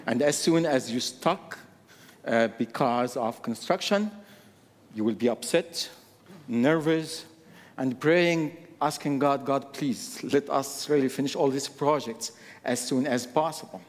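A man, preaching a sermon to a church in a slow, deliberate way and repeating key phrases. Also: mid-range voice. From Egypt. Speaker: guest preacher. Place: church sanctuary. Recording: long church hall reverb.

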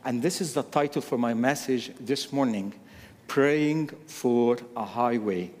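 A man, preaching a sermon to a church in a slow, deliberate way and repeating key phrases. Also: mid-range voice, darkish tone. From Egypt. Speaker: guest preacher. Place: church sanctuary. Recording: long church hall reverb.

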